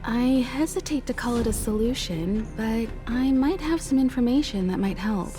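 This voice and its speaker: A woman's voice: a little high, lively intonation, normal speed, close to an everyday voice. A woman playing a composed, worldly mentor in a video game, courteous and diplomatic. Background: faint game music.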